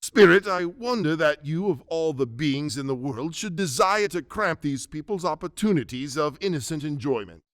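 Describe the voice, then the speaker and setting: A man's voice: fairly high pitch, expressive, normal speed, hardly any pauses, a bit bright, dry recording, clean studio sound. A man playing an anxious, humbled man who pleads with spirits, earnest and formal, in a radio drama. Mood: curious, challenging.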